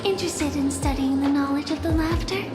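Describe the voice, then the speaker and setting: A woman playing a wry, bookish keeper of reference books in a game, speaking in a measured way. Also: high voice, close to an everyday voice. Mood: wry, aloof. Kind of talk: game character dialogue. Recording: echoey, music behind.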